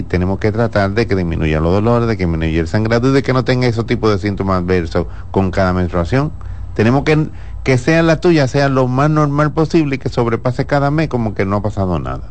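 Male gynecologist, radio guest; low-pitched, patient and explanatory, laughs easily. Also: a little mellow. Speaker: gynecologist answering listeners. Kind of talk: radio health talk show.

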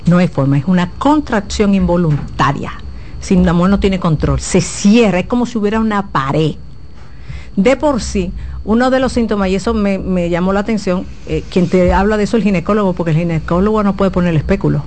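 Female sex therapist guest, frank and explanatory, average pitch, light background noise. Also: a little fast, darkish tone. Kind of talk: radio advice talk.

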